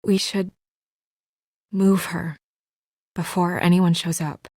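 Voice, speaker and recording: A woman's voice: lowish voice, flat delivery, slow pace, darkish tone, breathy edge, no room sound, clean studio sound. A woman voicing an anxious, businesslike young female official in a video game, speaking in an earnest, guarded way with naturalistic delivery. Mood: guarded, concerned.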